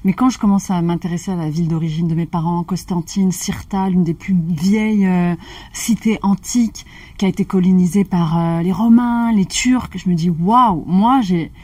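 Brief: low-pitched woman, mellow tone, dry room, reflective conversational partner. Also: moderate pace, husky, no echo. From of Algerian descent. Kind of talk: casual chat.

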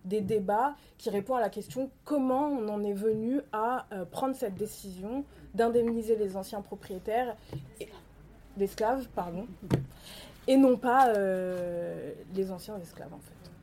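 A woman's voice: medium pitch, a little slow, mellow, a little hiss or hum, low-quality recording. A woman author speaking on a panel about her research, hesitating often with fillers. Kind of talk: academic panel discussion.

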